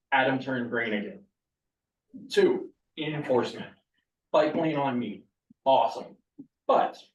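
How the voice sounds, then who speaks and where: A local resident giving a blunt, frustrated public comment at a community meeting. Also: male voice, medium pitch. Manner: blunt, animated, building frustration. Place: meeting room. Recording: echoey room, distant mic, dull sound.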